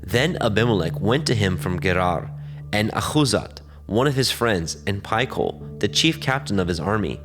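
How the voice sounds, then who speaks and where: A man narrating scripture in a solemn, formal and measured reading style. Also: fairly low pitch, big pitch swings. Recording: music under the voice.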